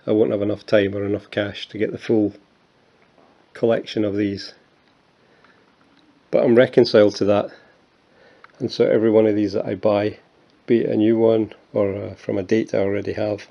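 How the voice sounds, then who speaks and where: A man in his fifties with a Scottish accent talks in a fairly low voice, chatty and self-deprecating, often stumbling over his words. The recording is dull and lo-fi, like a home camera mic. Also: little pitch movement, moderate pace, vocal fry, dark tone. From Scotland. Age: 50s. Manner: chatty, self-mocking, many fillers and restarts. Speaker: coin collector. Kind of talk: casual show-and-tell vlog.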